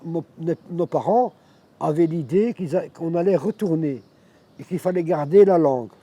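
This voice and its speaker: A man in his fifties from Morocco, an Arabic speaker, telling his life story in a reflective, halting way. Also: on the high side, mellow. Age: 50s. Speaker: interviewee. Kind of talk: personal life testimony.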